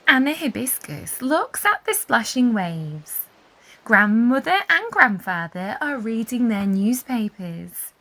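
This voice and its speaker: High, bright, animated woman reading stories. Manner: deliberate emphasis, animated storytelling. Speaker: children's story reader. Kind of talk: expressive read-aloud narration for young children.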